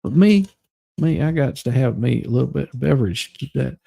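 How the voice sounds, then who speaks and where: Husky, mellow man hosting a livestream. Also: medium pitch, lively intonation, a little fast, no room sound. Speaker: live stream host. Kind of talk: dramatic reading and casual livestream chat.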